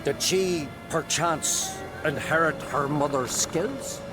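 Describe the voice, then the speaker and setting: A man's voice: a little high, slow pace. A man playing a stern, indignant druid elder in a video game, speaking in a formal, theatrical way. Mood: grave. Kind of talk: video game cutscene acting. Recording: music under the voice.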